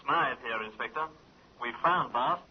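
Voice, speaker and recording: An actor playing a detective giving a brisk, businesslike report by phone in a vintage radio drama, heard through a muffled old recording. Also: male voice, mid-range voice, slight background noise, lightly acted.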